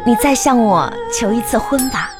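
A woman acting a bossy, vain wife who fishes for romance, with a Northeastern Chinese accent and mock-solemn, drawn-out pronouncements in a radio comedy. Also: on the high side, lively intonation, close to an everyday voice. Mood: coy, wheedling. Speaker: radio comedy host and actor. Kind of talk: broad live radio comedy drama. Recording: radio broadcast with loud music behind.

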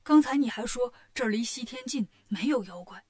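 A woman's voice: a little high, lively intonation, brisk, darkish tone. Woman acting out male monk master, storybook tale, timid, gullible, fretful, weary sighs. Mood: scolding. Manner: sighing, fretful, plaintive.

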